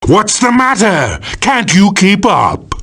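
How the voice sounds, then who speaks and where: A power-drunk video game supervillain in a forceful, rasping voice. He is grandiose and theatrical, drawing out his threats slowly as if savoring each one. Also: medium pitch, huge pitch swings, exaggerated voice. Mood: taunting. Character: boastful, power-mad male supervillain shouting in battle. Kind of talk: video game villain voice acting.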